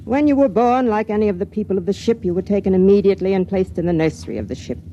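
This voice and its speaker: An actress playing an aged, secretive spaceship matron in a vintage radio drama, speaking formally in measured, confiding tones. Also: female voice, mid-range voice, warm tone, lo-fi sound, lightly acted. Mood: intent. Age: older. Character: aged matron of a spaceship colony, guarded, secretive, quietly intense. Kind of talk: old-time radio drama acting. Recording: vintage radio recording with hiss.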